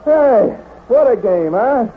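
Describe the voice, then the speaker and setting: An actor playing a breezy, self-assured amateur detective in a 1940s radio drama, with a jaunty way of explaining things. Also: male voice, very high-pitched, expressive, slow pace, full character voice. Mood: excited. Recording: tinny, hissy old radio broadcast.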